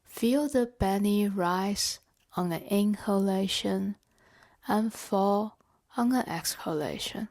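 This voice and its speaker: A woman leading a guided body scan meditation in a gentle, measured, instructional way. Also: medium pitch. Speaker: meditation guide and therapeutic coach.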